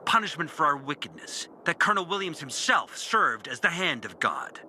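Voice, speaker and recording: An actor playing a bitter, disillusioned former priest in a video game, speaking a brooding, deliberate confessional monologue with dramatic pauses. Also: male voice, on the high side, very animated, sharp tone, a little hiss or hum, exaggerated voice. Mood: bitter, resolute. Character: disillusioned former priest, bitter and intense, recounting his lost faith. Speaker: character narrating an audio journal.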